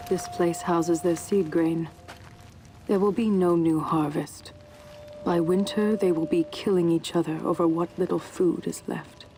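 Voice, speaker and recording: An ominous female warrior from a game, acted by a woman. Her voice is on the low side and dark, cool and matter-of-fact, with music behind. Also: fairly even intonation, a little slow, close to an everyday voice, low-quality recording. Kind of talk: dramatic game cutscene acting.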